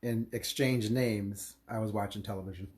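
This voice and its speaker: A man's voice: on the low side, steady tone, normal speed, a little mellow. A middle-aged man with an American accent, chatting casually and relaying viewer questions on a livestream. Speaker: camera operator reading viewer questions.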